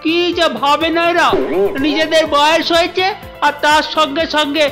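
A woman's voice: high-pitched, little pitch movement, normal speed, fairly bright tone. A woman voicing a cocky, stubborn young cartoon turtle who talks in a defiant, dismissive, grumbling way. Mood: sulky. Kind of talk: children's cartoon dubbing. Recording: music behind the voice.

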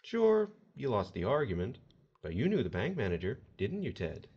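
An actor playing a smug, folksy agent of the afterlife who mockingly recites a sinner's crimes in an audio horror drama. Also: male voice, medium pitch, wildly swooping pitch, moderate pace, a little mellow, breathy edge, highs cut off. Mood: accusing, smug.

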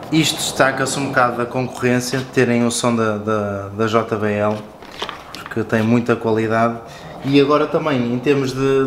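A man's voice: mid-range voice, slight background noise. A man with a European Portuguese accent gives a casual, informal, explanatory product review and walkthrough. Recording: roomy echo with music behind. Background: background music.

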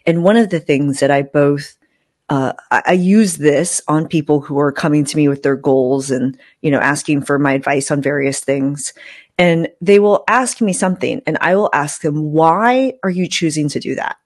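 A woman's voice: low voice, moderate pace, a little mellow, no room sound, clean studio sound. A woman hosting a podcast in a casual, conversational way, reflective and repeating words for emphasis. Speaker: podcast host. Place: indoor room, video call. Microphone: close podcast microphone with fluffy windscreen.

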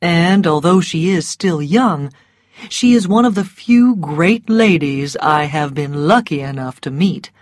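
A woman voicing a cynical, mocking Southern gentleman who speaks in a bored, lazy drawl, in a storybook reading. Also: on the low side, expressive, unhurried, no room sound, clean studio sound. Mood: teasing.

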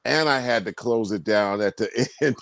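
A man co-hosting a casual video call chat, talking in a rambling, animated way with many restarts. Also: fairly low pitch, normal speed, no room sound, studio quality. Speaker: tour promoter and co-host.

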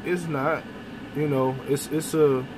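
Young man, trucker vlog, blunt and animated, truck cab, engine and air-con hum behind. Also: a little high, takes it slowly. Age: young adult. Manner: blunt, animated, with mild cursing. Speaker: truck driver vlogger. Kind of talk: casual vlog monologue. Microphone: phone camera close up. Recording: road and air-conditioning noise in truck cab. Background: vehicle and air-conditioning hum.

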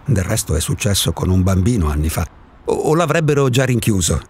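A man's voice: on the low side, very animated, fairly quick, a little mellow, slight background noise. An actor in a radio drama playing an eccentric, solitary man who insists he does not exist, philosophizing in a provocative, impatient way. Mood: insistent. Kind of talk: Italian radio drama acting.

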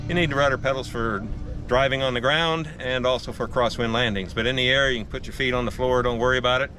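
A man's voice: mid-range voice, steady tone, fast talker, bright, forceful tone, soft background music. An older man at an air show patiently explaining gyroplane flight in detail, with frequent fillers, recorded outdoors on an airfield. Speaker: gyroplane dealer. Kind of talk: explaining at an expo. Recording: outdoor noise in the background.

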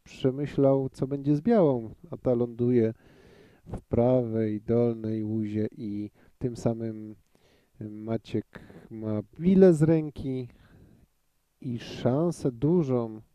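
A male commentator calls a pool match at an unhurried pace. His voice is very dark and mellow, and he pauses often to think aloud. The booth sound is dry and close. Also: mid-range voice, fairly even intonation, no echo, highs cut off. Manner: measured, thinks aloud with many hesitations. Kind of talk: live sports commentary.

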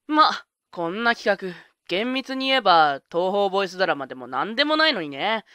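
Woman doing a laid-back anime witch girl, mid pitch, boyish talk, really dry sound. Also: big pitch swings, normal speed, sharp tone, no room sound. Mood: sheepish, frank. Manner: casual, boyish rough speech. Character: breezy, careless tomboyish young witch girl. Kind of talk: anime-style fan voice drama.

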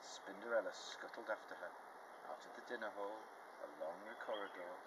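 A man in his fifties reading a children's picture book aloud, phrasing it deliberately and expressively. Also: fairly low pitch. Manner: deliberate, clearly phrased storytelling for young children. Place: outdoors in a leafy garden. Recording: outdoor ambient noise behind.

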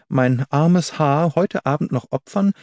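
A man's voice: on the high side, a little slow, darkish tone, no echo, pitched above the performer's own voice. Man reading as a determined young woman, dry. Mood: resolute. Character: resolute, plucky young woman.

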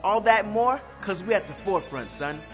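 A young American man presenting a scripted comedic web show in a playful, animated, slangy way. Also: high voice, normal speed, over music. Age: young adult. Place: studio with green screen, music behind.

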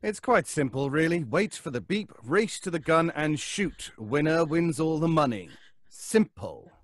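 An actor playing an officious, callous lawyer in a horror audio drama. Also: male voice, fairly high pitch, expressive, a little slow, no echo, clean studio sound. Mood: cool, matter-of-fact.

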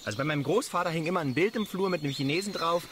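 A man's voice: a little high, very fast, close to an everyday voice. An actor playing a hesitant, polite young man of nineteen in a radio play, awkward and eager, stammering a little. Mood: tentative. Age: young adult. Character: awkward, hesitant nineteen-year-old lad, eager to learn. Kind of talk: naturalistic German radio play acting. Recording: noisy outdoor ambience with birds. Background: birds and outdoor nature sounds.

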